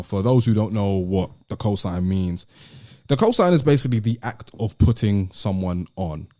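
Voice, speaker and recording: A British man hosts a casual podcast in a low, very mellow voice. The sound is close and dry. He explains things step by step, in a conversational way. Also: no echo. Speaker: podcast host.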